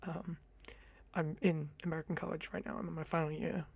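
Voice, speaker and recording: Gravelly, high-voiced young man. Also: level tone, fairly quick. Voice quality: high-pitched voice. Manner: chatty, rambling, self-deprecating. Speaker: ASMR creator. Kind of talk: casual ASMR ramble to camera. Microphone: close condenser mic.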